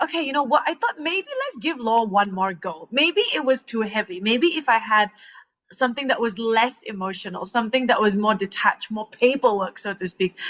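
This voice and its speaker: A woman in her thirties, from Malaysia, talking through her story as a podcast guest. She is animated and laughs often between phrases. The recording sounds a little muffled. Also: a little high. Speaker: guest, transformational coach. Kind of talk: casual podcast conversation.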